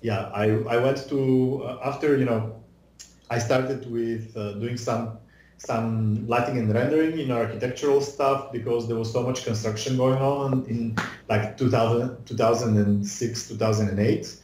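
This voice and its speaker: A man's voice: mid-range voice, fairly even intonation, moderate pace, noisy background. A man in his thirties who grew up in Bulgaria, chatting casually in an interview over a video call, with many fillers and restarts. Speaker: guest, visual effects artist. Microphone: video call webcam mic. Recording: roomy echo on a video call.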